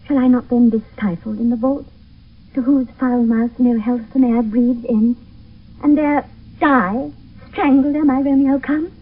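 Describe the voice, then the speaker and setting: A woman's voice: fairly high pitch, fairly even intonation, a little slow. An actress playing a frightened young noblewoman in an intense, theatrical 1970s radio Shakespeare style. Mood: fearful. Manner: intense, theatrical verse delivery. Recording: thin phone-like old radio, distant mic.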